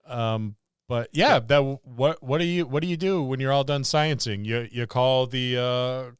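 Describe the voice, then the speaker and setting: A man with a clean, smooth voice at an average pitch chats as a podcast co-host. He rambles enthusiastically about gaming, and the recording is close and dry. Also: a bit bright, no room sound. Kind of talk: casual podcast chat about tabletop gaming.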